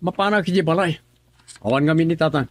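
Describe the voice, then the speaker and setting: An actor playing a young Ilocano husband in a radio drama, coaxing and evasive. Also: male voice, a little high, fast talker, dry recording, lightly acted.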